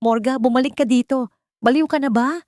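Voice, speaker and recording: A woman voicing an angry, alarmed husband in an audiobook drama, with loud, sharp exclamations. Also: high-pitched, a little fast, dry recording, clean studio sound, pitched above the performer's own voice, close to an everyday voice. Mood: alarmed anger. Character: angry, alarmed husband chasing his wife.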